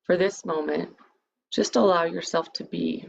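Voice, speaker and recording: Low, creaky-voiced woman guiding a meditation. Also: fairly even intonation. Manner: soothing, measured, invitational delivery. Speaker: guided meditation leader. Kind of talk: guided meditation, part improvised, part read lecture. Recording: dull, lo-fi sound with background noise.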